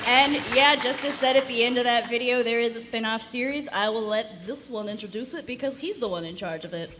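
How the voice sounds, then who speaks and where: A woman's voice: fairly high pitch, brisk, crowd noise. Woman presenting in a very noisy crowded hall. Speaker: panel presenter. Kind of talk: live convention panel talk. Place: convention hall.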